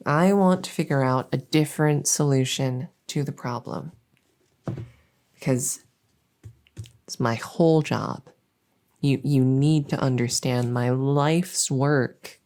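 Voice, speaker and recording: A woman plays a female monster who lives under the bed, harmless, needy and exasperated. Her voice is low, soft and mellow, often dropping to a whisper. She rambles slowly and stammers as she pleads with the listener, in a silly ASMR roleplay. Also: heavily acted.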